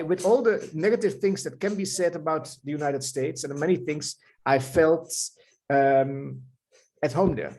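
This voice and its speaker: A man's voice: fairly high pitch, darkish tone. A man in his fifties with a Dutch accent, a novelist answering interview questions in a thoughtful way, often restarting and repeating words. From Netherlands. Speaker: novelist guest.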